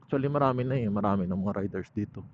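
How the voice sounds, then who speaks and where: A man's voice: fairly low pitch, very fast. A man with a Filipino accent chats casually and playfully to viewers as a motorcycle vlogger, speaking inside a helmet outdoors. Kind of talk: casual riding vlog chat to viewers. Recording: muffled helmet mic with ride noise.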